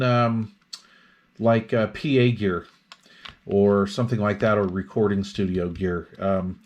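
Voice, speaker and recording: A man talking through an unscripted product review in a casual, explanatory way, often pausing mid-phrase as he goes. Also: fairly low pitch.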